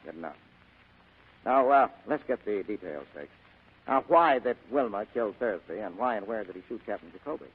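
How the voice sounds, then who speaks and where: An actor playing a cool, wisecracking hard-boiled private detective in 1940s radio style, clipped and cynical. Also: male voice, on the low side, animated, moderate pace, breathy edge. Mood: businesslike. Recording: muffled vintage radio recording.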